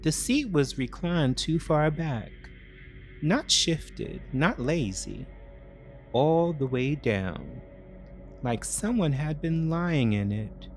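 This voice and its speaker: Slow, deliberate male thriller narrator. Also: a little high, very animated, soft background music. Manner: deliberate, with short weighted phrases and dramatic pauses. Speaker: story narrator. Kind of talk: dramatic scripted first-person narration of a psychological thriller.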